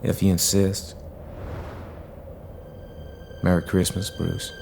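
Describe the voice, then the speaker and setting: A man's voice: sits low, level tone, slow, vocal fry, background noise, heavily acted. A man as an earnest, pleading superhero. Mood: resigned, kind. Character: earnest, patient, idealistic male superhero. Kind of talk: audio drama acting.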